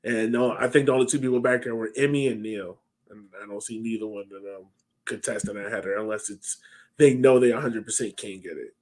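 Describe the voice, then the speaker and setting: A man's voice: on the low side, brisk, darkish tone, rough recording. An American man in his thirties chatting casually about sports as a podcast host on a video call. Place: home room, indoors, on a video call.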